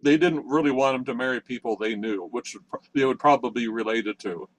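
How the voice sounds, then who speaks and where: An older man from central Pennsylvania tells a rambling story in a relaxed group chat. He restarts his sentences often and chuckles as he goes. His voice sits in a middle pitch, and the recording sounds dry and close. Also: fairly quick, no echo, poor audio. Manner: rambling, many restarts, chuckles through stories. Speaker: participant in a technical session.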